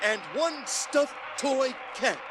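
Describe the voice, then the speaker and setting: An actor playing a brash, sarcastic working-class loader in a comic radio drama for children. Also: male voice, very high voice, takes it slowly. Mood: businesslike. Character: gruff, mocking working-class male dock loader. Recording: slightly noisy vintage vinyl record.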